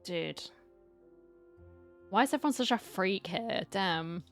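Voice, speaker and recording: A woman's voice: mid-range voice, animated, takes it slowly, soft background music. A woman giving casual gaming commentary, reacting bluntly and sarcastically with plenty of slang. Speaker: gamer host reacting to a story quest.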